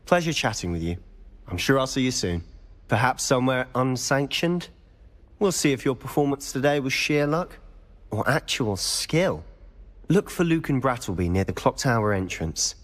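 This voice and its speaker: A man's voice: mid-range voice, lively intonation, in a large hall, close to an everyday voice. A young man voicing a charming, rule-breaking teenage wizard student with a conspiratorial manner. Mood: sly. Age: young adult. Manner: charming, conspiratorial, quick-witted.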